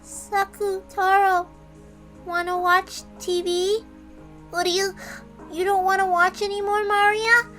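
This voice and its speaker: A woman voicing a small, childlike stuffed animal who gently consoles a friend in a story reading. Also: very high voice, level tone, takes it slowly, faint music behind, heavily acted. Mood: eager, then worried. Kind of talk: dramatic reading of a visual novel.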